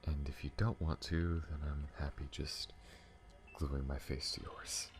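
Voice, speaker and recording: Man playing a very deep, gravelly alien captain. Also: steady tone, a little slow, a little hiss or hum. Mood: shy, affectionate. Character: adult male alien spaceship captain, tender lover, hardened to enemies. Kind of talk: intimate ASMR audio roleplay acting.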